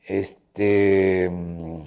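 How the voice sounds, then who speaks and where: A man speaking reflectively in an interview as a drama director, deliberate and halting. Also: low voice, flat delivery, creaky, dark tone.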